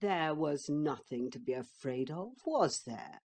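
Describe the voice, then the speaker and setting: A woman's voice: deep voice, animated, a little mellow, exaggerated voice. A woman voicing a sly, boastful male talking horse that mocks and coaxes a boy. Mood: coaxing. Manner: slow, coaxing, mocking.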